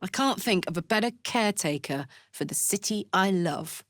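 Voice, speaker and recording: A woman's voice: medium pitch, lively intonation, moderate pace, no echo, studio quality, lightly acted. A woman playing a tough, big-hearted, devil-touched warrior woman in a video game, with a blunt, earthy, sweary manner. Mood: wry, frank. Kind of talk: video game character voice acting.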